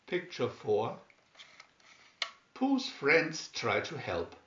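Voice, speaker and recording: A man reading a children's story aloud in a deliberate, expressive way, with emphatic swoops on key words. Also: medium pitch, slight background noise. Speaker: storybook reader. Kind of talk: scripted children's story narration, read aloud slowly and expressively. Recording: dull, roomy home recording.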